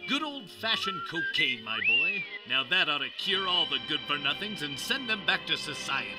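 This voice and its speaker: An actor playing a pompous, square, chauvinist superhero detective in a parody of an old-time radio serial, exclaiming melodramatically. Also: male voice, a little high, huge pitch swings, normal speed. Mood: smug, triumphant. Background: music.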